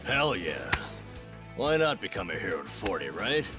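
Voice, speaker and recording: An actor playing a hearty, encouraging middle-aged sidekick in a video game drama, casual and animated. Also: male voice, low voice, normal speed, music in the background. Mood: persuasive, cheerful. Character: hearty, encouraging buddy of the hero.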